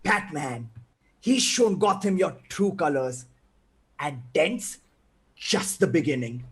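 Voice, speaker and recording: A man playing a manic, menacing criminal clown in a live table read, taunting with drawn-out words and cackles. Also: on the high side, expressive, fairly bright tone, slightly rough, full character voice.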